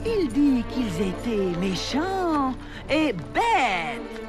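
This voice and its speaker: A woman playing an indignant pagan noblewoman who rouses her people, declaiming in a French-dubbed animated historical drama. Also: on the high side, loud music behind the voice, full character voice. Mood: indignant, rousing. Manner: declamatory, deliberate.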